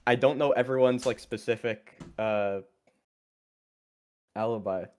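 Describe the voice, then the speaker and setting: A man's voice: mid-range voice, fairly even intonation, a little slow, clean tone. A man chatting casually while playing a game, hesitant, thinking aloud and trailing off. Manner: hesitant, trailing off mid-sentence. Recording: game music behind the voice.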